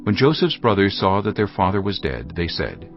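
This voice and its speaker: A man's voice: deep voice, very animated, moderate pace. A man narrating dramatized scripture in a solemn storytelling manner. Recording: slightly dull audio with music behind. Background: music.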